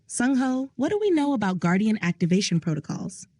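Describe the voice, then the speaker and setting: A woman voicing a male crime boss who speaks calmly and with measured command. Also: a little high, no echo, voice pushed up. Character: controlled male mafia boss, calm and steady. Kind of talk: audio drama reading.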